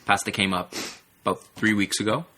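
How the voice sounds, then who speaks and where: A man's voice: on the low side, fairly even intonation, fairly quick, sharp tone, a little hiss or hum. A man chatting in a mock-serious, hesitant way on a casual comedy podcast, recorded in a bedroom. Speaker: podcast host and engineer. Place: bedroom, under a bunk bed. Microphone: shared podcast microphones.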